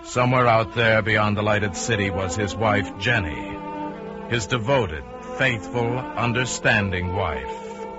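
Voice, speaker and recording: A dull old radio recording with music underneath carries a low-voiced husband coolly plotting murder. He is measured and deliberate, pausing for drama in a mid-century crime thriller manner. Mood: brooding, measured. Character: married man coolly plotting to kill his wife. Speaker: narrator and lead character.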